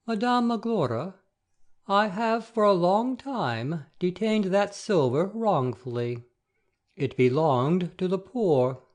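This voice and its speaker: A woman playing a gentle, grave elderly male bishop with a deliberate, mild delivery in a classic audiobook drama. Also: lowish voice, animated. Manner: deliberate, mild, pausing between phrases.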